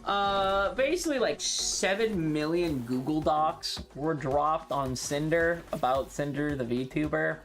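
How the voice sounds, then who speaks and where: A woman's voice: sits low, animated, slow pace, few pauses, soft background music. A woman streaming a game and chatting casually in a sweary, offhand, conversational way. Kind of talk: casual livestream chat while gaming.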